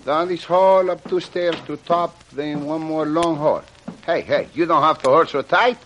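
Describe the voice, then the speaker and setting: A man's voice: a little high, normal speed, fairly bright tone, dull audio, close to an everyday voice. An actor in an old radio serial playing an eager, bumbling henchman with accented broken English. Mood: agitated. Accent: put-on foreign, broken English. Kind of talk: old-time radio adventure acting. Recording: noisy old radio recording with clicks.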